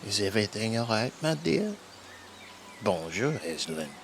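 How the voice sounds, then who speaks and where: A man playing a gentle, doting husband, tender and courteous, in a fantasy audio drama. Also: lowish voice, sing-song, a little slow, background noise. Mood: tender, apologetic.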